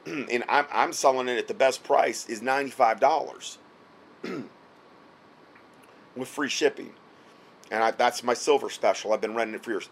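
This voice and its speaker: A man's voice: mid-range voice, slight background noise. A man who is losing his voice gives casual, repetitive spoken advice late at night. He keeps checking in with the listener and often repeats words as he goes. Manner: repeats himself, frequent "okay?" check-ins. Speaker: health product seller giving advice. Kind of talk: casual instructional talk.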